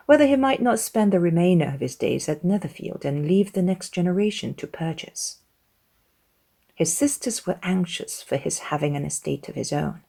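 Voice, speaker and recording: A woman's voice: low-pitched, gravelly, dark tone. A woman reading a classic novel aloud as an audiobook narrator, in a scripted literary storytelling style.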